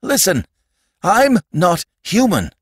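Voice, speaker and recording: A man's voice: fairly high pitch, sing-song, slow, a bit bright, pitched above the performer's own voice, exaggerated voice. A man voicing a terrified shapeshifting alien in a storybook reading, pleading and frantic in his outbursts. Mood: frightened pleading.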